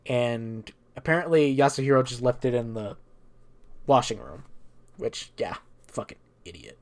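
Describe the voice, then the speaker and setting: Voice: mid-range voice, lively intonation, unhurried. A speaker giving a casual, improvised story recap as a gaming streamer, rambling and irreverent with plenty of swearing.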